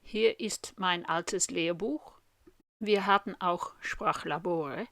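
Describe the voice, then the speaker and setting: A woman in her fifties from Western Australia, with an Australian accent, talks to camera in a language she learned later in life. She speaks slowly and deliberately, in an earnest, friendly way, and keeps asking her viewers questions. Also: fairly low pitch, vocal fry, fairly bright tone. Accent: Australian English. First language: English. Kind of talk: slow, careful talk to camera in a learned language.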